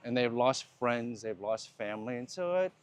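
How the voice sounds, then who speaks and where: Guy in his 50s chatting on a walk, even mid voice, dry close sound, laughs easy. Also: mid-range voice, moderate pace, dry recording. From United States. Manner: thoughtful, laughs easily, restarts phrases. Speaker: host. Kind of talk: casual conversation while walking. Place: outdoors on a walk.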